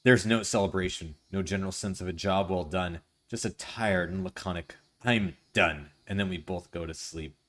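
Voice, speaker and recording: A man's voice: sits low, fairly even intonation, fairly quick, hardly any pauses. Ironic playful male streamer. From Canada. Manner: ironic, playful, self-mocking. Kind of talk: reading a visual novel aloud and chatting with chat.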